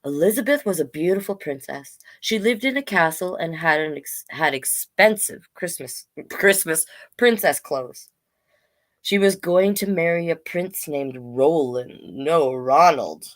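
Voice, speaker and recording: Storytime host: woman, low voice, informal and rambling, mixing picture-book reading with loose chat. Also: expressive, measured pace. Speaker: drag king storytime host and reader.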